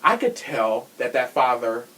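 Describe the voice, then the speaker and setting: A man's voice: medium pitch, very animated, unhurried, pushed and bright, rough recording. A man hosting a podcast in casual true crime chat, sassy and blunt, swearing freely. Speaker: podcast host.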